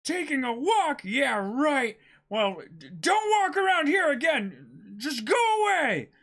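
A hot-headed young pirate acted by a man from a comic reading. The voice is pushed high and bright, blunt and full of defiance. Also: big pitch swings, takes it slowly, creaky, heavily acted. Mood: angry, defiant. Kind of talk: dramatic comic-book reading.

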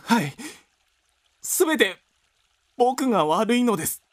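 Guy as a timid apologetic student, high husky voice, pleading, big reverb, radio drama. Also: very animated, takes it slowly, exaggerated voice. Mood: contrite. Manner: hesitant, pleading, polite. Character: meek, apologetic young male student. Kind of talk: Japanese radio drama acting.

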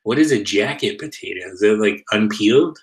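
A man's voice: lowish voice, animated, brisk. A man co-hosting a show over a video call, bantering casually and reading out comments. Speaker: show co-host.

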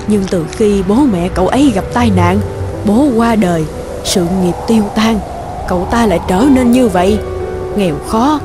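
A woman's voice: mid-range voice, normal speed, lightly acted. A woman playing a kindly, motherly middle-aged housekeeper in a cartoon, caring and deferential. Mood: pitying. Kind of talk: cartoon dubbing. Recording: music loud behind the voice.

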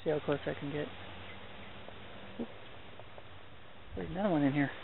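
A young man with a fairly high voice talks through a night hike, excited and chatty as he spots animals. The sound is thin and tinny, like a cheap handheld camera mic. A faint hiss sits under the whole outdoor recording. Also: normal speed, vocal fry. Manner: excited, chatty, mild minced oaths. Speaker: hiking vlogger. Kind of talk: hushed running commentary while filming.

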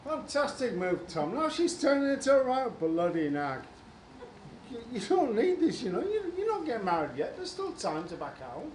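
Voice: medium pitch, big pitch swings, moderate pace, natural voice. A British amateur performer playing a scornful, goading voice of bad conscience in a radio play. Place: large conference room before an audience. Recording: echoey, slightly noisy hall.

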